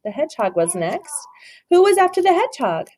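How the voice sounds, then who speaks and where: Brief: woman teacher, read-aloud to a kid, animated pitch, gentle, encouraging. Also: fairly quick, a little hiss or hum. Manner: gentle, encouraging, dramatic storytelling. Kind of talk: children's read-aloud with questions.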